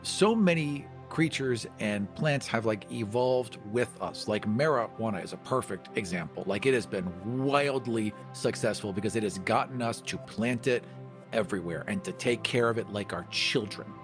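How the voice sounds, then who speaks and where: A man's voice: lowish voice, normal speed, over music. A man co-hosting a podcast, chatting casually and conversationally.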